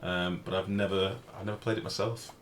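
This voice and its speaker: A man with a deep, booming voice streams a game over faint background noise. He talks informally, swearing now and then, and moves between commentary on play and replies to his viewers. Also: steady tone, a little fast. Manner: informal, sweary, reads and answers chat. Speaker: game livestreamer. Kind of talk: casual live gaming commentary, chatting with viewers.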